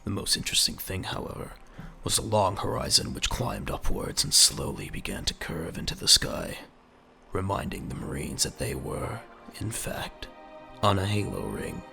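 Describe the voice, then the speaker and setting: Deep, gravelly male dramatic narrator. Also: sing-song, normal speed, music in the background. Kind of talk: scripted dramatic story narration.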